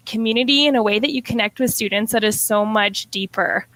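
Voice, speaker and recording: A woman's voice: a little high, a little fast, a bit bright, no room sound, low-quality recording. A young woman in her twenties, a middle school teacher, chatting as a podcast guest, with lots of "like" and "um" and easy laughter. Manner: chatty, fills talk with "like" and "um", laughs often. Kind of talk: casual interview chat.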